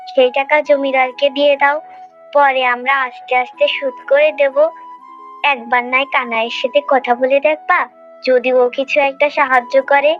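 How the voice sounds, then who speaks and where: A woman's voice: sits high, lightly acted. A woman voicing an anxious, scolding village mother, a poor farmer's wife, in a cartoon. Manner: fretful, nagging. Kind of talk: Bengali moral-story cartoon dubbing. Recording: muffled audio with background music.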